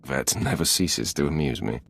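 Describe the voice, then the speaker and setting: An actor playing a commanding Sith lord in a video game, gravelly, with a curt, dry, authoritative manner. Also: male voice, very deep voice, animated, moderate pace, fairly bright tone, exaggerated voice. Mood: measured approval. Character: commanding male Sith lord, imperious superior officer. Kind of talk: scripted video game dialogue.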